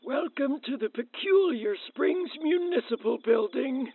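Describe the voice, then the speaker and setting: A meek husband working the camera, naively polite and apologetic. He speaks slowly in a high, hesitant voice. The sound is narrow and tinny, like camcorder audio in a radio comedy. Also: expressive, no room sound. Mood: eager, awkward. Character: meek, friendly, hapless husband operating the camera. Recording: thin phone-like camcorder audio.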